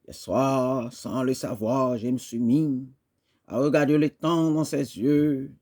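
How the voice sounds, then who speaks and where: A man reciting poetry dramatically, in an impassioned, declamatory way with emphatic pauses. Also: mid-range voice, steady tone, a little mellow. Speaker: poet reciting.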